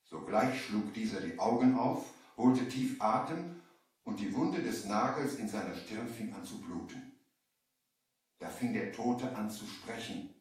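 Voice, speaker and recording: An actor playing a merchant who narrates his sea adventure in measured storybook style, in a 1970s German audio play. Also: lowish voice, lively intonation, darkish tone. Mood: narrating. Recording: strong echo, distant from the mic.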